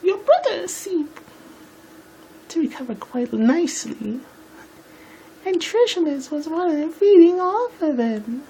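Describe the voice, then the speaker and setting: A man voicing a prim, refined female pony from a story in a strained, shrill falsetto. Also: very animated, a little slow, pauses often, mellow, slight background noise, full character voice, poor audio. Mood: prim, arguing.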